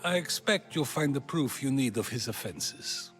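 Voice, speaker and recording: A calm older philosopher from a video game, voiced by an actor, measured and searching in his questions. His voice rises and falls with lively inflection. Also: medium pitch, soft background music, natural voice.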